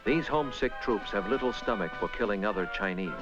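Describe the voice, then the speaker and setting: Low-voiced documentary man over loud music. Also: expressive. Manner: measured, grave, clipped dramatic phrasing. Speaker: documentary narrator. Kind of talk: scripted 1960s documentary narration. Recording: dull vintage film soundtrack, loud music. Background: loud musical score.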